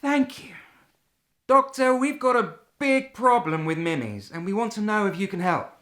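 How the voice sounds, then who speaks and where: A woman's voice: mid-range voice, big pitch swings, moderate pace, a bit bright. A woman playing a polite but harried British tech company employee in a radio play, earnest and exasperated in manner. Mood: earnest, worried.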